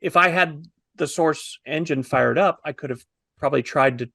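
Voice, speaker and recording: A man in his fifties with a fairly high voice that crackles with fry. He talks casually and admiringly as a panelist reviewing a film, and the sound is close and dry. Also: moderate pace, bright, forceful tone, no room sound. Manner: enthusiastic, admiring. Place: indoors, on a video call.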